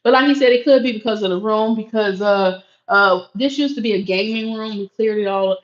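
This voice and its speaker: A woman's voice: medium pitch, fairly quick. A woman hosting a casual live stream from a home room, with a voice that carries. She chats and laughs while reacting to viewer comments. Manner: projecting voice that carries. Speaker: livestream host reading chat. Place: small home room used for crafting and recording, sparse and echoey. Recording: echoey room, dull lo-fi sound.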